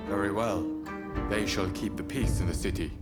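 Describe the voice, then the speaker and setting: A man playing a dignified, deliberate feudal Japanese lord in a video game, speaking formally. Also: on the low side, steady tone, natural voice. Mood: solemn, approving. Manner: formal, stately. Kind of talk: dramatic video game cutscene acting. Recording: loud plucked-string music under the voice.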